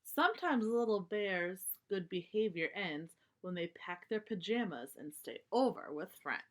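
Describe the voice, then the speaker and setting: A woman with a mid-range voice reads a picture book aloud to children. She narrates in an explanatory way, pausing for simple asides to her young listeners. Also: medium pitch, lively intonation. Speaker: children's story reader.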